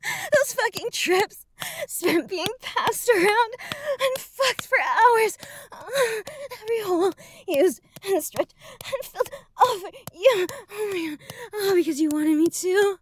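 A woman's voice: very high voice, lively intonation, slow, slight background noise, heavily acted. A woman playing an overwrought, conflicted adult bride in an explicit audio roleplay, with breathless, moaning delivery. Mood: breathless, aroused.

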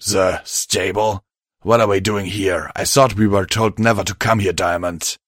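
A bitter male unicorn from a fantasy tale played by a man, stubborn and desperate. He speaks curtly and combatively in a lowish, dry voice. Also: steady tone, unhurried, fairly bright tone, no echo. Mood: irritated. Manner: curt, sweary, confrontational.

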